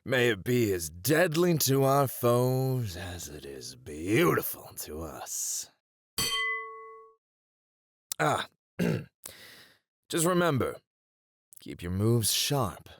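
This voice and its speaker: A man's voice: mid-range voice, sing-song, takes it slowly, no echo, clean studio sound. A man playing a cocky, shrewd gang leader in a flirty ASMR roleplay, teasing in a playful, theatrical way. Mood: seductive, toasting.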